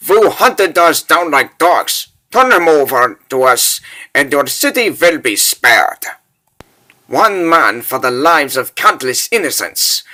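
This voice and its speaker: A man's voice: a little high, pushed and bright, full character voice. A man voicing a menacing, smug rogue leader in a comic dub, taunting in grandiose phrases. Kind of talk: dramatic comic dub acting.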